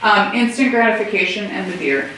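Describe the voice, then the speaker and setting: A woman's voice: mid-range voice, brisk, pushed and bright. An American woman talking informally at a meeting, answering questions. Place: indoor meeting room, far mic. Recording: very echoey room, distant mic, faint noise.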